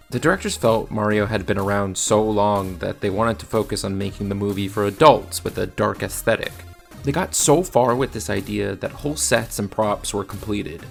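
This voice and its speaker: A man with a low voice and a creaky edge delivers a video review. He is matter-of-fact and opinionated, talking through a script conversationally. Soft music sits behind him. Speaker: video essayist and film reviewer.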